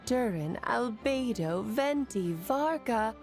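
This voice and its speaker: A woman's voice: a little high, lively intonation, slow pace. An actress playing a busy, breezy mother, doting and grandly self-assured, in a video game. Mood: weary. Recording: game music clearly behind.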